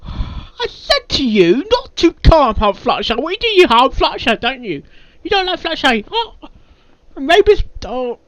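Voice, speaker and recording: A person giving casual, unscripted reaction commentary in an excitable, halting way, often repeating words. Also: high-pitched, dry recording. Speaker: reaction video maker reviewing a fan fiction reading.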